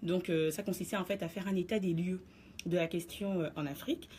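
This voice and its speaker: Assertive woman radio columnist, lowish voice. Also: steady tone, a little fast, warm tone, slight background noise. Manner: explanatory, assertive, lecturing to listeners. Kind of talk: radio commentary segment. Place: radio studio.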